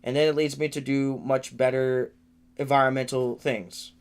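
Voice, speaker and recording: A man's voice: medium pitch, level tone, normal speed, clean tone. A nineteen-year-old man giving a rambling livestream update from a room in his family home, venting with frequent restarts and repeated words. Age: late teens. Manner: frustrated, venting.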